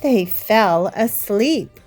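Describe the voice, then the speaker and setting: A woman hosting story time, reading a picture book aloud to young children in a deliberate, sing-song manner. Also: mid-range voice, lively intonation, darkish tone, soft background music. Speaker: children's storybook reader and host.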